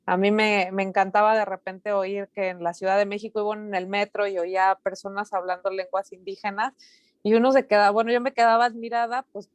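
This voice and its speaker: A woman's voice: mid-range voice, little pitch movement, a little fast, smooth, dry recording. A woman moderating a live online talk, reading out comments and chatting, with many fillers and tag questions. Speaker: online event host.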